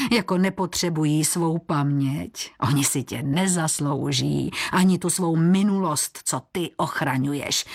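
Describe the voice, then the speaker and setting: Lady doing evil storybook goddess of darkness, low voice, mocking, purrs her threats. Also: darkish tone. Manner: menacing, taunting, purring.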